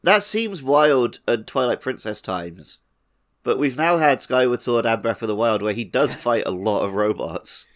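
A man's voice: medium pitch, expressive, moderate pace. A man hosting a casual gaming podcast chat, jokey and often chuckling as he talks. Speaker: podcast host.

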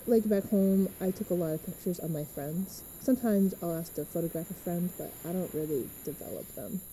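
A woman playing an easygoing, reassuring teenage girl who talks gently and casually in a podcast drama. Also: lowish voice, fairly quick, slight background noise, natural voice, poor audio. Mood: reflective, reserved. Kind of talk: fiction podcast acting.

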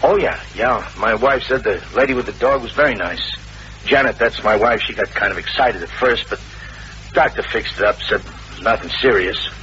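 A man's voice: on the low side, normal speed, bright, forceful tone. A worried young working-class father. He talks in a colloquial, hesitant way in an old radio drama. The recording is muffled and lightly noisy. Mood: earnest, uneasy. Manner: hesitant, plain-spoken, colloquial.

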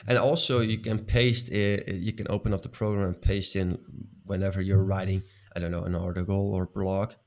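A man's voice: deep voice, little pitch movement, moderate pace, few pauses, a little mellow. A man speaking English as a second language in a casual chat, hesitant, with many fillers and restarts. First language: not English.

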